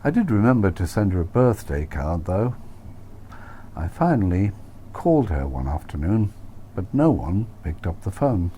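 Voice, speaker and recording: A man's voice: low voice, very animated, moderate pace, mellow, slight background noise. A man reading his own first-person novel aloud as an audiobook, in a wry, dry manner.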